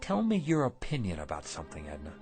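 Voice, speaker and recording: An actor playing a kindly, generous older shopkeeper and husband in a radio drama, with a folksy manner. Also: male voice, low-pitched, wildly swooping pitch, fast, over music, exaggerated voice. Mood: content, affectionate.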